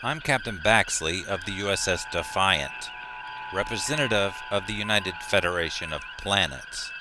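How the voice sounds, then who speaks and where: A man's voice: deep voice, moderate pace, heavy background noise, natural voice. A man playing a firm, earnest starship captain in a sci-fi audio drama. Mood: formal, pressing. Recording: music bed behind the voice.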